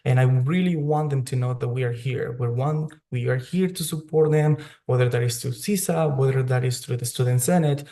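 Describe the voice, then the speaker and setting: A man's voice: medium pitch, moderate pace, hardly any pauses, mellow. A young man who grew up in Mexico, a student leader giving earnest personal testimony at a news briefing over a remote call. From Mexico (grew up there). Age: young adult. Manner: earnest, personal, some restarts.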